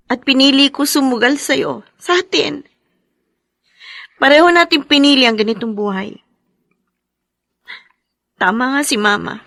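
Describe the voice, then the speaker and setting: Woman acting bright, high-voiced soldier's wife. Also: dry recording, clean studio sound. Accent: Filipino. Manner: emotional, plaintive yet tender. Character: long-suffering, loving soldier's wife and new mother. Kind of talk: emotional audio-visual drama acting.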